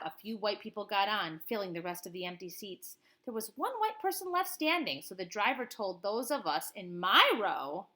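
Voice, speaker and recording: Animated, bright-voiced woman reading to children. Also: medium pitch. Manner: animated, explains simply to young listeners. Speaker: teacher reading a picture book aloud. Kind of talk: expressive children's read-aloud with explanatory asides.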